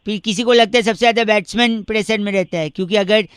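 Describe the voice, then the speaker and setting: Pestering boy prankster, high-pitched, bright and piercing, mock-polite, rambling, comic radio patter. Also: steady tone, quick pace, clear, resonant tone, no room sound, clean studio sound. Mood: earnest explaining. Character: cheeky, naive, pestering boy prankster with absurd theories.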